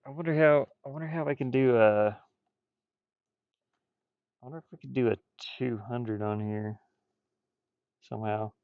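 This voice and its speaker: A man chatting casually on a livestream while drawing, rambling and muttering to himself, with bursts of loudness. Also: mid-range voice, normal speed, pauses often, clear, resonant tone, dry recording, narrow, tinny audio. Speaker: streamer drawing digital art.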